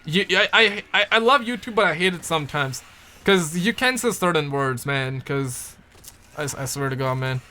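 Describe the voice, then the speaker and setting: A man's voice: fairly high pitch, lively intonation, moderate pace, pushed and bright, slight background noise. A young man from Pakistan, chatting casually on a livestream and answering viewer comments in a rambling, playful way that repeats words. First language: Urdu.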